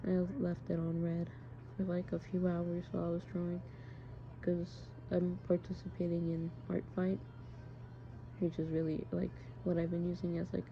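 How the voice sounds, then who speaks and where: A young adult woman talking haltingly and informally, as if into a personal audio diary. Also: on the low side, completely flat delivery. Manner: hesitant, halting, informal with swearing. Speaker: audio diarist. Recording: muffled home recording, clear background noise. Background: background noise, friends' voices.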